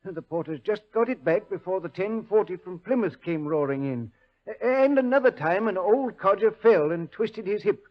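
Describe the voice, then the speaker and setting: An actor playing a deferential, fretful railway station official who explains hesitantly, in 1940s radio drama style. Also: male voice, sits high, lively intonation, moderate pace. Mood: earnest, puzzled. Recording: dull old radio recording.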